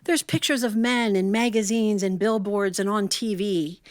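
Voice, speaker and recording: A middle-aged woman tells funny personal stories like a seasoned storyteller. Her delivery is folksy and animated, slipping into quoted dialogue, with a medium-pitched voice. The sound is dry, with very little room echo. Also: normal speed. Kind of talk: humorous personal storytelling to a live audience.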